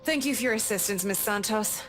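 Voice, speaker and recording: A woman's voice: mid-range voice, fairly quick, lightly acted. Actress as a formal, courteous businesswoman over music. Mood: polite, composed. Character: polite, apologetic female client's representative, businesslike. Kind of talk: visual novel game voice acting.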